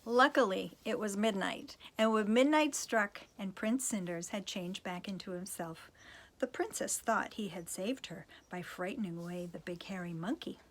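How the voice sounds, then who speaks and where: A woman's voice: medium pitch, normal speed. Playful woman reading a picture book. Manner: playful, chuckling, asides to listeners. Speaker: storytime reader, teacher.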